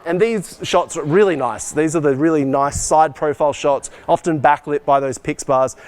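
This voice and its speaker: A man's voice: on the high side, slight background noise. A man speaking casually and unscripted as he shows people around a school's technical setup, explaining things in a practical way like a department head leading a tour. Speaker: school arts and IT department head giving a behind-the-scenes tour. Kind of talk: informal walk-through technical tour, explaining gear. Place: on the move through a school tech room and performance venue.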